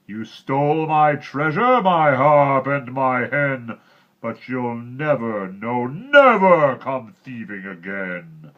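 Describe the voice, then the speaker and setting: A man voicing a furious, menacing giant in a storybook, bellowing drawn-out threats. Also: medium pitch, lively intonation, dull audio, pitched above the performer's own voice. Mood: roaring, furious.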